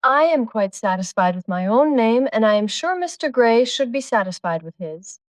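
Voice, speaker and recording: A man voicing a spirited, flirtatious English duchess in an audiobook, with a coy falsetto and quick retorts. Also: sing-song, no room sound, clean studio sound. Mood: coy, playful. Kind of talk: audiobook character dialogue.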